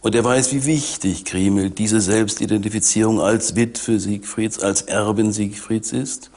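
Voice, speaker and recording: Male expert interviewee, sixties, lowish pitch, deliberate and emphatic explanatory delivery. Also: steady tone, a little mellow. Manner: deliberate, emphatic repetition in long phrases. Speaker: forensic psychiatrist, expert interviewee. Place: dim indoor interview set, seated.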